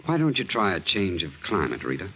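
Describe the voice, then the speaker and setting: An actor playing an earnest, hesitant American bachelor, a shyly hopeful suitor in a 1940s radio drama. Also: male voice, low voice, wildly swooping pitch, a little fast, slightly rough, heavily acted. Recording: muffled, noisy old radio recording.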